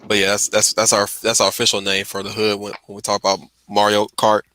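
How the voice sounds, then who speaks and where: A man's voice: fairly low pitch, monotone, fast, sharp tone, a little hiss or hum. A man chatting casually on a stream in an emphatic, slangy way, often repeating his phrases. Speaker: stream host. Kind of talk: casual chat about films.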